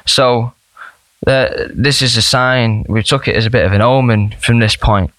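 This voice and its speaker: A young man, a band member chatting as a podcast guest, telling rambling, animated stories. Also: mid-range voice, moderate pace, dry recording, poor audio. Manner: animated, rambling storyteller with laughs. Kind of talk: casual storytelling chat.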